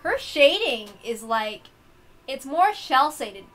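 A woman's voice: high-pitched, sing-song, slow pace, fairly bright tone. A woman streamer reading a visual novel aloud, with informal asides of her own. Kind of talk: casual commentary on a game read-aloud.

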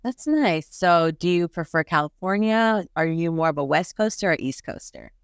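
Female podcast host, young adult, American accent. Low register, conversational interviewing, dry close-miked studio. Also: lively intonation, normal speed, smooth, no echo. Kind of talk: casual interview hosting. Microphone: close studio microphone on boom arm.

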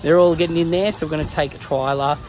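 A man's voice: on the high side, fairly even intonation, quick pace, poor audio. A man in his thirties with an Australian accent, chatting casually as he shows a country town on a walking tour vlog, filmed on a phone held at arm's length. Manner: chatty, easygoing, explains as he films. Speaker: travel vlogger. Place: outdoors in a country town street. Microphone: phone camera at arm's length. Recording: outdoor street noise behind.

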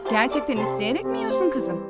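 A woman voicing a gentle, patient mother who explains things to young children in a cartoon dub. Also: high voice, sing-song, a little fast, loud music behind the voice. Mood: encouraging.

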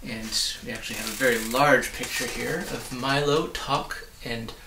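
A man's voice: mid-range voice, fairly even intonation, normal speed, noisy background. A man in his forties narrating a storybook aloud, recorded in a small room. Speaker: storybook reader. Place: small book-lined room indoors. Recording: roomy, distant microphone.

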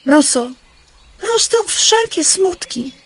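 A woman's voice: sits high, sing-song, slow pace, exaggerated voice. A woman playing a lovelorn young princess in a storybook radio play, with lyrical delivery. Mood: yearning.

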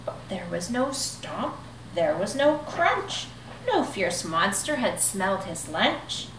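A woman in her thirties reading a children's rhyming picture book aloud in an expressive, rhythmic way, seated in a living room. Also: medium pitch, slow pace, a little hiss or hum. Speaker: storybook reader. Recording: echoey room, mic at a distance.

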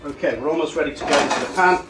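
A man's voice: on the high side, racing, loud music behind the voice. A middle-aged man, a chef, giving casual, plain-spoken step-by-step cooking instructions while busy at the stove in a home kitchen. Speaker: chef demonstrating a recipe. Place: small home kitchen, indoors. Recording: loud sizzling pan and kitchen noise. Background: frying, sizzling, stirring.

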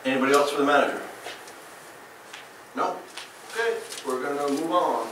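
A man's voice: a little high, moderate pace, noisy background. A man serving as mayor, giving a report at a town council meeting in an upbeat, event-listing way with frequent fillers and a New Jersey accent. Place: large council chamber, indoors. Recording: echoey council chamber from far off.

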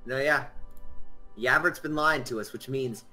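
A man's voice: medium pitch, measured pace, over music. A man in casual multiplayer gaming chat who teases and accuses his friend in a dry way. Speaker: gamer playing with friends.

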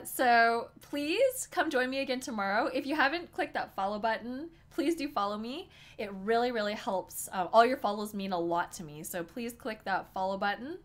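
A young woman with a higher, bright, forceful voice chats excitedly with her viewers from a desk indoors. Her voice has a slight hoarseness from talking for hours. She giggles often and speaks straight to her audience. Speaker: poker streamer. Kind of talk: live-stream commentary to chat. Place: small room indoors at a desk.

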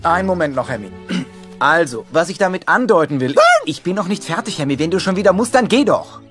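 An actor playing an anxious, bossy male turtle in a German animated film dub, fussy and hesitant. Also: a little high, fairly quick. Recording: music under the voice.

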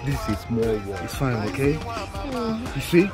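An actor playing a stern, morally outraged young bridegroom in a film drama, speaking in a scolding, emphatic way. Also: male voice, medium pitch, animated, a little slow, natural voice. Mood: calm, resigned. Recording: loud music over dialogue, outdoors.

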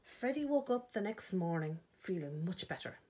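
Irish woman, lowish pitch, very breathy voice, expressive storytime reading, dramatic pauses. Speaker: librarian reading a children's picture book aloud. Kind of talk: storytime read-aloud narration.

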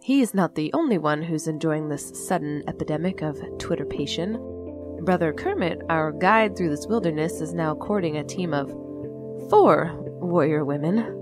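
Android nun, exasperated, wry, articulate, slightly low voice, audio drama monologue. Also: female voice, animated, moderate pace, over music. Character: weary, exasperated female android nun broadcaster.

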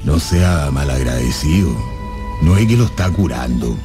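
A man acting an eerie, ragged street vagrant in a radio drama, muttering haltingly and repeating his words. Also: rumbling low, animated. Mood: matter-of-fact. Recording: loud music under the voice.

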